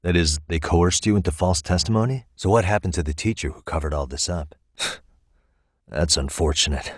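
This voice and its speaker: Low, smooth-voiced male investigator. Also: normal speed, no room sound, studio quality. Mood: somber, questioning. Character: composed, probing male private investigator, adult, dryly confident. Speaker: voice actor in a video game. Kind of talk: naturalistic game dialogue acting.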